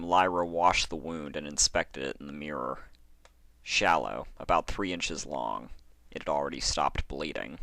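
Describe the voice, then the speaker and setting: Casting: male podcast storyteller, deep voice, informal narration, close dry recording. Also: gravelly, pushed and bright, dry recording. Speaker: podcaster and fan fiction reader.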